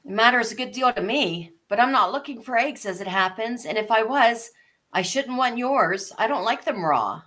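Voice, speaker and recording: A woman doing a polite confused little girl from a storybook, highish voice that goes up and down a lot, earnest. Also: expressive, moderate pace, a bit bright. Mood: indignant. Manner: earnest, prim, questioning. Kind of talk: live reading of an abridged classic story.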